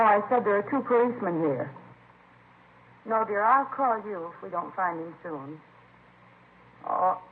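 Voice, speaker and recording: A woman's voice: medium pitch, close to an everyday voice. An actress playing a worried housewife and mother in a 1950s radio drama. She is earnest and polite. The sound is tinny and narrow, with faint noise. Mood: anxious, apologetic. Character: anxious, polite housewife and mother of a missing boy.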